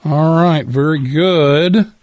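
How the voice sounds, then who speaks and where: A man hosting a live call-in show, casually reading out listener messages in a halting way with repeated words. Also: on the high side, darkish tone, dry recording. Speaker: radio show host.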